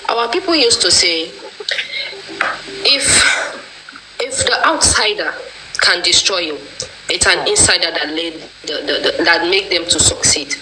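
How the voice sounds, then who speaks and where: A woman's voice: on the low side, expressive, unhurried, long pauses, background noise. Passionate Nigerian woman quoting proverbs. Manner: passionate, accusatory, uses proverbs. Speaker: discussion participant. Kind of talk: casual online discussion.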